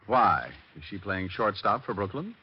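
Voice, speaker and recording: An actor playing a wisecracking, flirtatious private detective with an American accent and breezy, sarcastic delivery in golden-age radio style. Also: male voice, lowish voice, animated, normal speed, slightly rough. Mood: glib, flirtatious. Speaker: lead actor and narrator of detective radio drama. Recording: muffled old radio recording.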